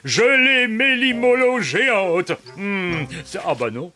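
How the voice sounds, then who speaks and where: A man's voice: sits high, takes it slowly, pushed and bright, exaggerated voice. A man voicing a jolly, easily amused village policeman in a French children's cartoon, theatrical and mock-official in manner. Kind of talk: animated children's cartoon dubbing.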